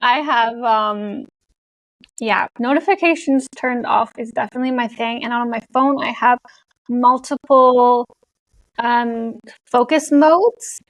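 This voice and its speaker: A woman's voice: fairly high pitch, slow, dry recording, rough recording. A young Canadian woman speaking casually as an interview guest, laughing often and using many fillers. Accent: Canadian English with an international European tinge. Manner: chatty, laughs often, many likes and you knows. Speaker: guest, content creator.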